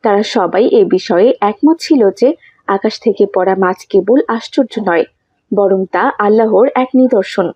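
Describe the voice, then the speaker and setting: A woman narrating a scripted children's story, with a husky, mellow voice. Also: mid-range voice, dry recording.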